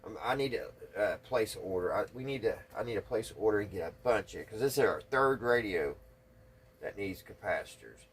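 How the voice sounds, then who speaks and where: A man with a slightly husky voice, talking casually and folksily through hands-on repair work, thinking aloud without hurry. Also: fairly low pitch, animated. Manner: folksy, unhurried, thinking aloud while working. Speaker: hobbyist radio restorer and video host. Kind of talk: casual hands-on workbench narration.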